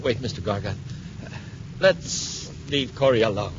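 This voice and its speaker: A man's voice: on the low side, unhurried, natural voice. Man playing a jumpy, fearful henchman. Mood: alarmed, fearful. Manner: nervous, hesitant. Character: jumpy, fearful male henchman, uneasy accomplice. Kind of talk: 1950s radio serial acting. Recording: noisy, muffled 1950s radio recording.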